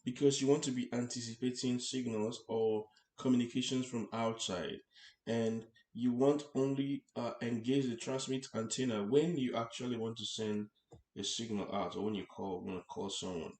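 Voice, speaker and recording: A man giving an unscripted tutorial, explaining step by step in a halting way, repeating and rephrasing as he goes. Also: medium pitch, fairly even intonation, darkish tone. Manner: halting, repeats and rephrases phrases, checks with "right?". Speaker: instructor. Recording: echoey, roomy sound.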